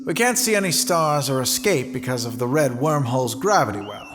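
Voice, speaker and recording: An actor playing a dutiful, harried space station commander in a sci-fi audio drama, polite yet brisk and exasperated, over music. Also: male voice, mid-range voice, animated, a crowd in the background, close to an everyday voice. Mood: courteous.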